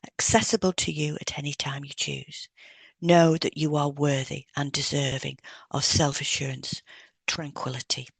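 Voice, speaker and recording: Gently creaky woman, measured and soothing. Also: sits low, dry recording. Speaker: podcast co-host and hypnotherapist. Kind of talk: guided relaxation script reading and scripted podcast discussion.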